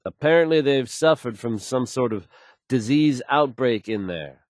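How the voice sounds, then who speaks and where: A man's voice: medium pitch, animated, unhurried, darkish tone, no room sound, studio quality, natural voice. An actor playing a confident, easygoing radio newsman in a video game, suave and wry, with a polished, folksy delivery. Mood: wry, assured. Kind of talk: smooth scripted radio news delivery, video game voice acting.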